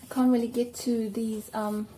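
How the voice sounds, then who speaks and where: South african lady rambling on about her hair at home, kinda high voice, soft mellow tone, bit of hiss behind. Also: flat delivery, normal speed. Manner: chatty, rambling, with many ums. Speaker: vlogger. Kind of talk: casual hair tutorial chat.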